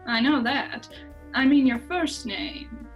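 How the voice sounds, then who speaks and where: Woman acting a high-voiced femme fatale. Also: takes it slowly, dull audio. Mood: coy, persuasive. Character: sultry scheming femme fatale in a noir spoof. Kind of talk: radio drama comedy acting. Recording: music bed over video-call audio. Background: music.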